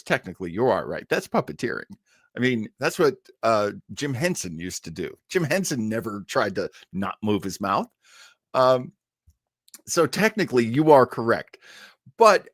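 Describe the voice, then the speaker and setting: A man hosting a livestream in a casual, rambling, halting way, telling stories and asides with an amused manner. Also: mid-range voice, fairly bright tone, dry recording, studio quality. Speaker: livestream show host. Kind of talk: casual livestream chat, storytelling.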